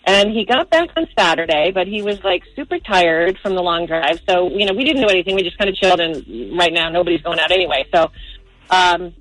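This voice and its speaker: A woman's voice: lowish voice, animated, brisk, a bit bright, no echo, poor audio. A woman calling in to a radio show, telling a personal story in a chatty, informal way full of filler "like". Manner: informal, peppers talk with "like".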